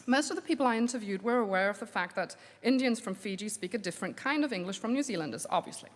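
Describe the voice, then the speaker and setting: A woman researcher giving an academic conference lecture, measured and steady, with frequent um fillers. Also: medium pitch. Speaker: linguistics researcher giving a plenary talk. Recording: long hall reverb.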